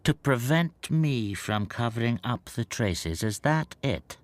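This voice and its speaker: An actor playing a timid foreign stamp dealer in a radio drama, speaking softly and brooding. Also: male voice, mid-range voice, animated, slow, dry recording. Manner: soft, subdued, brooding.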